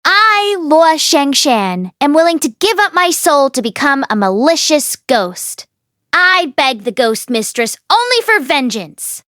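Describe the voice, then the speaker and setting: A woman acts out a young ghost woman in an audiobook tale, wronged and vengeful. Her high voice swings emphatically with bitter anguish, in a dry, close recording. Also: very animated, measured pace, fairly bright tone, no room sound, full character voice.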